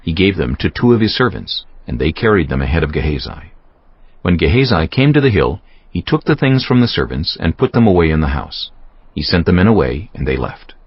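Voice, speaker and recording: A man narrating a dramatized scripture audiobook in a solemn, measured storytelling manner. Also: deep voice, very animated, husky. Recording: dull lo-fi sound, faint music bed.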